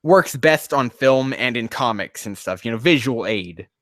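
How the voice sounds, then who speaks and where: Bright-voiced man reading and riffing, close and dry. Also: medium pitch, animated, unhurried, no echo. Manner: profane, exasperated, rambling asides. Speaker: streamer reading fan fiction aloud. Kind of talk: casual livestream reading with running critique.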